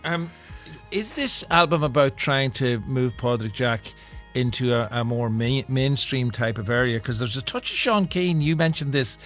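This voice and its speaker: A man's voice: mid-range voice, lively intonation, moderate pace, fairly bright tone, soft background music. A man hosting a live radio arts show, steering a review panel by putting questions to each guest. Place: radio studio.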